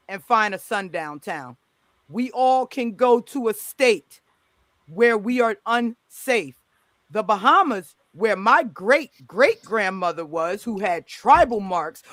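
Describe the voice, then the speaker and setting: A moderator running a heated panel debate, speaking forcefully and driving home points with emphatic repetition. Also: mid-range voice, no room sound.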